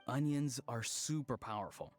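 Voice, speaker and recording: A man's voice: mid-range voice, lively intonation, normal speed, faint music behind, natural voice. An actor playing a kindly, sincere man in a video game, playfully humouring a child. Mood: earnest storytelling.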